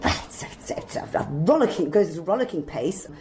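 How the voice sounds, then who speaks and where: A woman's voice: fairly low pitch, lively intonation, a little fast, a little mellow, a little hiss or hum. A woman, an actor, chatting in a breezy, wry way in a casual interview. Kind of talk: casual behind-the-scenes interview.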